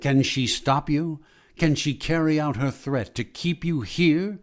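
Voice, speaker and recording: A man's voice: a little high, unhurried, close to an everyday voice. A man playing an ominous, knowing narrator in an old-time radio suspense drama. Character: ominous, knowing mystery narrator addressing the heroine.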